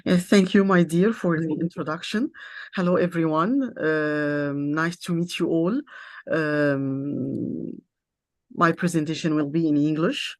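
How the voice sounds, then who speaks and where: Slow, halting woman speaker. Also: deep voice, dry recording. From Egypt. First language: Arabic. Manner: halting, searches for words with many pauses. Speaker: keynote speaker. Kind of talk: conference presentation.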